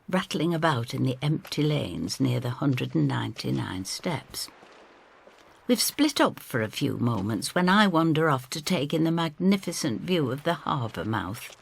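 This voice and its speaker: A woman giving expressive, theatrical first-person narration of a scripted story. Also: extremely low pitch, moderate pace, vocal fry, breathy edge. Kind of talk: scripted dramatic narration in character.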